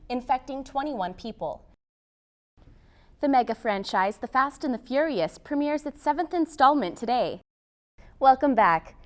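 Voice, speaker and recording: A young woman with a somewhat high voice reads the news as a reporter and anchor. Her delivery is scripted and presentational, in the manner of a TV bulletin.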